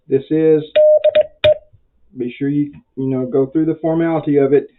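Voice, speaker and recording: A man's voice: fairly high pitch, steady tone, moderate pace, phone-quality sound. An American man giving an informal tutorial as an instructor, talking in a rambling, conversational, self-deprecating way. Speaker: amateur radio instructor.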